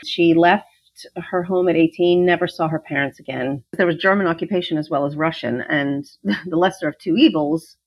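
A woman author whose first language is Ukrainian, telling her family story in an interview with lively, fluent storytelling. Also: deep voice, normal speed, slightly rough, no room sound, lo-fi sound. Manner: animated, fluent storytelling with small restarts. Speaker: author, guest. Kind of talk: interview about her novel.

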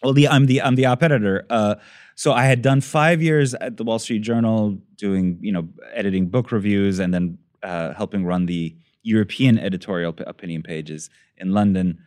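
A man in his thirties, originally from Iran, talking as a guest in a measured way and searching for words. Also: lowish voice, a little mellow, no echo, clean studio sound. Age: 30s. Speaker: podcast guest, newspaper editor. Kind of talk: casual interview talk.

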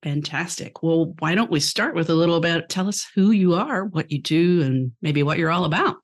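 A woman's voice: on the low side, moderate pace. A woman hosting a podcast, asking questions in an upbeat, friendly interviewing manner. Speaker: podcast host.

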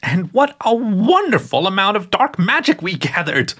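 A man's voice: high voice, very animated, moderate pace, fairly bright tone, voice pushed up, exaggerated voice. A man voicing a cheerful, sly old witch woman who calls everyone dearie in a gushing manner. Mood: doting.

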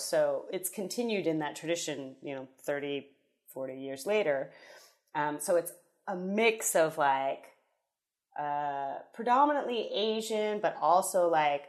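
A woman's voice: sits low, unhurried. A woman in her thirties, a podcast guest chatting casually, rambling and correcting herself as she tells a story. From United States.

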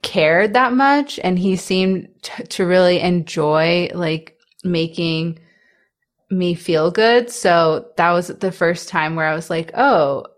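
Young woman, stutter, slow pace, candid interview talk, repeated first sounds. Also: on the low side. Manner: soft-spoken, laughs between thoughts.

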